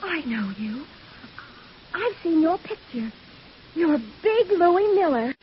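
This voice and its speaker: An actress in a 1940s radio drama playing a proper, earnest woman, talkative and quick to fret. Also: very high voice, very animated, full character voice. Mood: alarmed, earnest. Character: brisk, chatty, earnest woman in 1940s thriller. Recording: hissy, dull 1940s radio recording.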